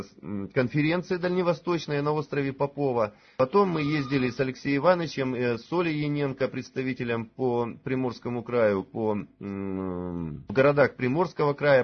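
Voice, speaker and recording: A man in his forties giving a conference talk to promote a supplement. He speaks hesitantly and fills his pauses with drawn-out "uh"s. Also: medium pitch, highs cut off. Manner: hesitant, many drawn-out "uh" fillers. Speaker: presenter at a sales conference.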